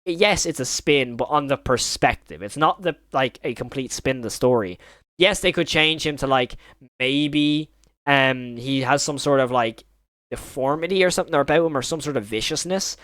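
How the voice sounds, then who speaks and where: A man's voice: mid-range voice, moderate pace, a bit bright, no echo, studio quality. A man hosting a reaction video, giving casual, rambling, informal commentary with some mild swearing.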